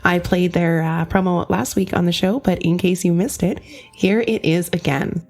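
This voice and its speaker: A woman hosts a casual podcast chat and talks in a friendly, effusive way. She keeps things moving with quick backchannels. Her voice sits a little low for a woman. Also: fairly even intonation, a little mellow, faint music behind. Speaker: podcast host.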